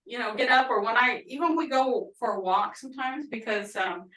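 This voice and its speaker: A woman with an Oklahoma twang teaching a language class over a video call, chatty and quick to laugh. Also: on the high side, pushed and bright. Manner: chatty, laughing often, storytelling asides. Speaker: language instructor. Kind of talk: casual online class teaching. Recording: roomy, distant video-call audio.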